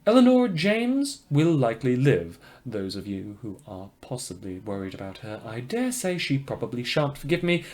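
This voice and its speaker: A man's voice: mid-range voice, sing-song, moderate pace, few pauses, darkish tone, lightly acted. A man playing a polite but murderous radio host in a fiction podcast, wordy and theatrical, with a genteel, mock-apologetic manner. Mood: wistful, resentful. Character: polite, murderous, inhuman male radio host, darkly cheerful. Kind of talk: scripted fiction podcast monologue as radio broadcast.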